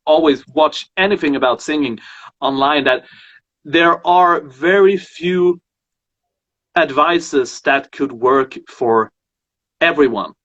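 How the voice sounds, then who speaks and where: Halting male voice teacher, muffled sound. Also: a little high, a bit bright. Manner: halting, full of fillers and restarts. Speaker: host and voice teacher. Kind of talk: casual conversation.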